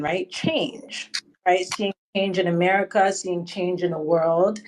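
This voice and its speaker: A woman's voice: lowish voice, poor audio. A woman from Canada calling in to a livestream, chatty and rambling, tagging her points with okay and right. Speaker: caller. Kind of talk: call-in discussion.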